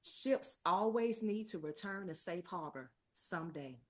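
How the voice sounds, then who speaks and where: Casting: actress playing an older aunt, fond and encouraging, with highly expressive melody, for a drama table read. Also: female voice, unhurried.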